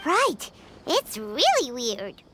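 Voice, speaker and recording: A woman voicing a tiny, eager, childlike companion creature in a video game, with a squeaky, bubbly voice. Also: wildly swooping pitch, very slow, noisy background, exaggerated voice.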